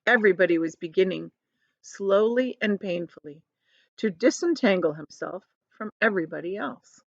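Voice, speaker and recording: A woman narrates a children's story with highly expressive pitch and small explanatory asides. Her voice sits at a middle pitch. The recording is dry and a little dull. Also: moderate pace, creaky, no echo. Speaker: teacher reading aloud to children.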